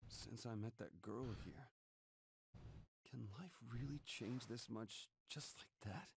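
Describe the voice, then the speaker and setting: A man's voice: mid-range voice, takes it slowly, gravelly, breathy edge, heavily acted. An actor with an American accent playing a troubled, weary and easily rattled man in a video game. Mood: brooding. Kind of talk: animated video game cutscene acting. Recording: game music behind the voice.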